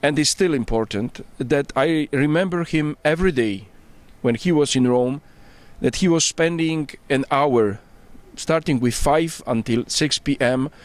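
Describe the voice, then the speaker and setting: A man's voice: on the high side, unhurried, few pauses. A middle-aged man from Poland with a Polish accent, a priest answering interview questions earnestly, searching for his English words, recorded outdoors on a rooftop. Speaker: priest and bishop-elect, interviewee. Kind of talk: casual interview.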